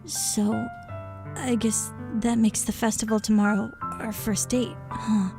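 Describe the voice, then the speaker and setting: A woman voicing a gentle, reassuring young visual novel protagonist with an earnest, hesitant manner. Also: a little high, level tone, takes it slowly, over music, voice pushed down. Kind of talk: visual novel dialogue read aloud.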